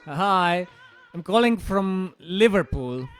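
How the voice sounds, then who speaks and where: An actor playing a lonely, stubborn boyfriend in an audio drama, awkward and easily indignant. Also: male voice, sits high, expressive, a bit bright, clean tone, faint music behind. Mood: nervous, hesitant. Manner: hesitant and stammering, turning indignant.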